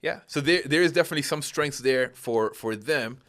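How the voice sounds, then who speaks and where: A man's voice: mid-range voice, a little fast, a bit bright. Earnest man explaining in conversation. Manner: earnest, explanatory, uses rhetorical questions.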